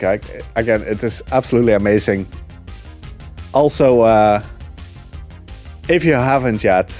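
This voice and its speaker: A man's voice: on the low side, darkish tone. A young man chatting casually while speedrunning a game, full of fillers and small laughs. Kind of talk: casual commentary while gaming. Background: game music.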